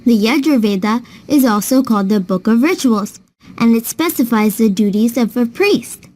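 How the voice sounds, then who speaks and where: A woman playing a knowledgeable big sister in a children's cartoon, with a fairly high voice. She explains patiently and kindly, as if telling a lesson to a younger child. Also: lively intonation, normal speed, a little mellow. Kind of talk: scripted children's educational storytelling.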